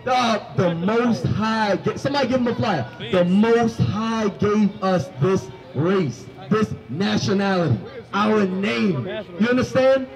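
A young man with a very high voice preaches on a busy city sidewalk, microphone in hand. He quizzes the passersby and climbs into commanding shouts. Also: a little slow, hardly any pauses, poor audio. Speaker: street preacher. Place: city sidewalk by a food cart. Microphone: handheld microphone.

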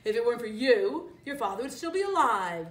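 Storybook villain: scheming male lion, acted by a woman, snarling, drawn-out, swooping delivery, roomy distant recording. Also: sits high, animated, pitched above the performer's own voice. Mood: menacing, accusing. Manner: snarling, mock-solemn, drawn-out.

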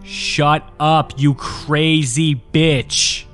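An actor playing a rough orderly in an audio drama, an obedient underling with a gruff manner. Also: male voice, on the high side, little pitch movement, extremely slow, a bit bright, faint music behind. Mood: harsh. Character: rough male orderly, obsequious to his superior.

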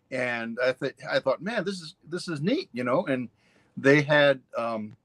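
An older man from Hawaii talks over a webcam call from his home, rambling through memories with plenty of fillers and side trips. His voice sits at a middle pitch, and the sound is dry and close, with no room echo. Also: fairly bright tone. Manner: rambling storyteller, many fillers and asides. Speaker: ukulele teacher, guest. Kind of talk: casual interview chat. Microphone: webcam on a video call.

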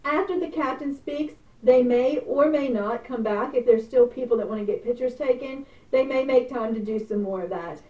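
A woman's voice: fairly high pitch, moderate pace, few pauses, warm tone. A middle-aged woman hosting a travel vlog, chatting casually and explaining step by step. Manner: chatty, gestures with hands while explaining. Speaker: travel vlogger. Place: small indoor room before a purple curtain. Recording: roomy, distant, phone-like sound.

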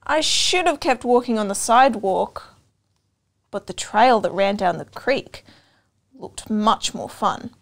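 A young adult woman reading a children's storybook aloud, expressive and deliberate, pausing to put questions to listeners. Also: medium pitch. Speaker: storytime reader. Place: indoor room with couch and bookshelves.

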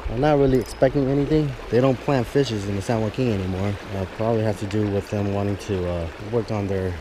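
A man's voice: on the low side, fairly even intonation, quick pace. A man in his thirties talking casually to an action camera while fishing by a river and hiking a hill trail. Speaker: fishing vlogger. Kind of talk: casual outdoor vlog. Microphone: action camera, chest-mounted and held at arm's length. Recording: loud wind and water noise outdoors.

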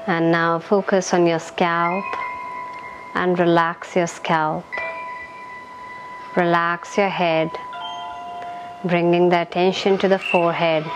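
A woman leading a guided meditation in a calm, gentle, soothing manner, giving instructions in short phrases with long pauses. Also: fairly low pitch, background noise.

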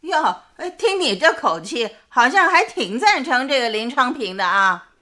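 A woman's voice: high-pitched, very animated, a little slow, pushed and bright, exaggerated voice. An actress playing a blunt, exasperated middle-aged mother in a radio drama, scolding in colloquial rhetorical questions. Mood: scornful.

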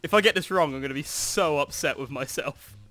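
A man's voice: a little high, brisk, pushed and bright, faint music behind. A young man reading quiz prompts aloud and joking with friends during a party game. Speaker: game host reading questions. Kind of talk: casual gaming chat.

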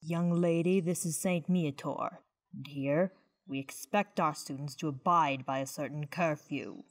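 A woman's voice: deep voice, fairly even intonation, a little slow, no room sound. A woman voicing a stern, haughty older head sister who scolds strictly, in an anime fan dub.

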